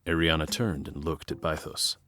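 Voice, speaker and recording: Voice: extremely low pitch, fairly even intonation, moderate pace, a bit bright, faint music behind. A narrator reading scripted storytelling for a fantasy audio drama.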